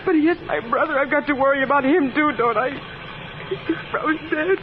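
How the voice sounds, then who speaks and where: A man's voice: very high voice, fairly quick. A man playing an anxious, submissive, tearful sibling in a 1950s radio drama, whimpering and pleading. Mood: tearful worry. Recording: muffled, noisy vintage radio recording.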